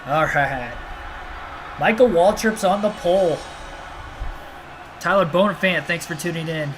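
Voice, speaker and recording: A young man with a high, light voice gives chatty, excitable live commentary as he plays a racing game. His quick reactions run over a steady air-conditioner hum that sits clearly under the whole recording. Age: 20s. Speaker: livestream host. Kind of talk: casual live gameplay commentary.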